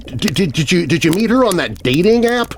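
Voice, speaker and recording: A man voicing a frightened, high-strung kid who stammers in a scary children's story. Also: sing-song, fairly quick, a little hiss or hum. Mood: shaken.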